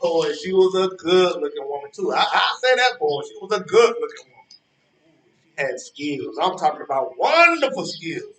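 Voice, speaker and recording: A man's voice: fairly high pitch, big pitch swings, moderate pace, a bit bright. A man acting in a stage play as a smug, boastful romantic rival who taunts colloquially and repeats his phrases for emphasis. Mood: wistful, boastful. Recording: roomy, echoey, distant from microphone.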